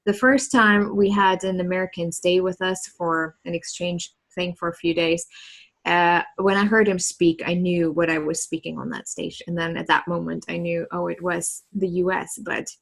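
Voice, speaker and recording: A woman in her thirties from East Germany with a German accent, a podcast guest telling her story in a relaxed, earnest way and laughing easily. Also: lowish voice, normal speed, gravelly. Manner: earnest and reflective, with frequent laughs and "like" fillers. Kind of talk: casual conversational storytelling.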